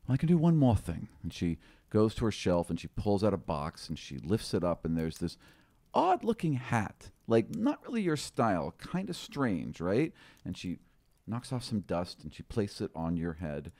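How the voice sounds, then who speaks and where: A man improvising tabletop game narration as game master, in an animated, conversational storytelling manner. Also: low voice, normal speed, no echo.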